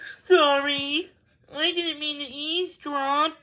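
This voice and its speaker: A woman voicing an earnest, soft-hearted young girl in a children's storybook, speaking gently. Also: sits high, steady tone, takes it slowly, voice pushed up, heavily acted, low-quality recording. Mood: sheepish.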